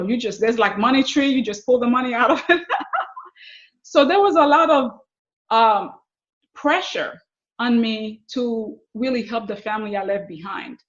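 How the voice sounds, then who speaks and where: A middle-aged woman with a Haitian accent telling personal stories as an interview guest, often halting and repeating words. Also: fairly high pitch. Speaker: podcast guest, success coach. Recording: slightly dull, lo-fi connection.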